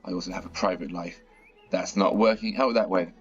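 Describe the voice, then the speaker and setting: A man with a croaky, slightly sore-throated voice, chatting casually as he plays and comments on a bus management game. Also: low voice, steady tone, a little fast. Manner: chatty, mildly exasperated at stuck buses. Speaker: gaming channel host. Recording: dull lo-fi audio with faint music.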